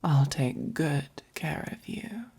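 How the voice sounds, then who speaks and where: A woman voicing a gentle, possessive, doting vampire in a soft-spoken ASMR roleplay, with an English accent and breathy, whispery, coaxing delivery. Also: sits low, animated, heavily acted. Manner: slow, coaxing, gently commanding. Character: gentle, possessive, doting dominant vampire keeping a human pet.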